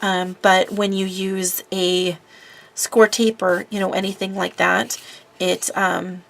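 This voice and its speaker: A woman's voice: medium pitch, little pitch movement, slow pace, creaky. Congested woman chatting over craft work. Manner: rambling, talks while working with fillers. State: congested from a cold. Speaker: papercraft video host. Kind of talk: casual chatty craft tutorial. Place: craft desk indoors.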